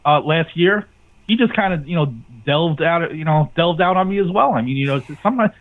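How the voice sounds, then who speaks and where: Dull-sounding man, slightly high voice. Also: lively intonation, a little fast. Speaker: podcast guest, network owner. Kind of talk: casual phone interview chat. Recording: dull lo-fi call audio.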